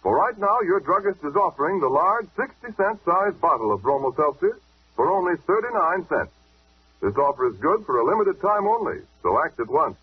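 A man working as a 1940s radio announcer delivers punchy commercial copy. His voice swings widely in pitch and sounds rough-edged, on a narrow, muffled old recording. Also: sing-song, normal speed. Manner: punchy, emphatic sales delivery. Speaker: radio announcer reading sponsor ads.

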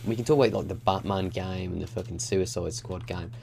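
A man's voice: deep voice, fairly even intonation, a little fast, gravelly, a little mellow. A man co-hosting a podcast, teasing his co-host and swearing often in casual chat.

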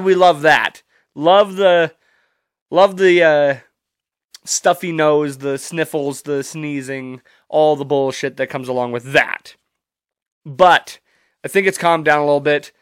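A man's voice: on the high side, expressive, takes it slowly, no room sound, clean studio sound. Profane, congested man chatting solo. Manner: loose, profane, rambling. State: stuffy, congested from allergies. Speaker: podcast host. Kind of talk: casual solo podcast chat.

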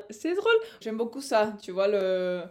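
A woman's voice: medium pitch, big pitch swings, unhurried, over a noisy crowd. A young woman, not a native French speaker, hosting a casual podcast chat over a video call, friendly and a little hesitant. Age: young adult. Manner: friendly, hesitant, slips into English exclamations. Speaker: podcast host.

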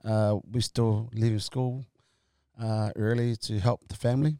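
A man in his forties from Tonga, telling his story as an interview guest in a halting way, full of fillers and restarts, laughing easily. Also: on the low side, flat delivery, warm tone, clear, resonant tone, no echo, studio quality. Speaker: guest, company co-founder. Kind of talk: casual interview chat.

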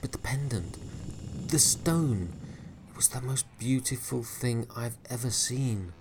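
A man's voice: mid-range voice, expressive, unhurried, background noise, pitched above the performer's own voice. A man voicing a nervous young sailor boy who pleads earnestly. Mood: anxious.

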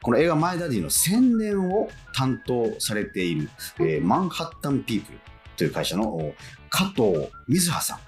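A man's voice: mid-range voice, very animated, moderate pace, faint music behind. A man hosting a radio show, chatting politely and interviewing a guest with frequent small responses.

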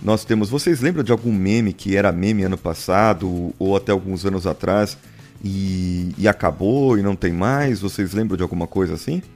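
Low-voiced Brazilian podcaster chatting. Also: male voice, normal speed, darkish tone, faint music behind. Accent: Brazilian Portuguese. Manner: conversational, asks questions to the others. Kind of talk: casual podcast discussion.

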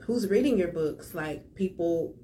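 A woman's voice: lowish voice, animated, a little slow, warm tone, a little hiss or hum. A woman hosting a casual podcast chat, speaking in an enthusiastic, chatty way and laughing easily. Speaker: podcast host.

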